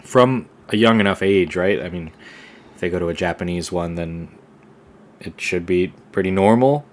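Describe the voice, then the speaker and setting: A young man co-hosting a podcast, talking casually in a relaxed, rambling way with lots of fillers and restarts. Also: low-pitched, moderate pace. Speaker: podcast co-host. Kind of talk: casual conversation.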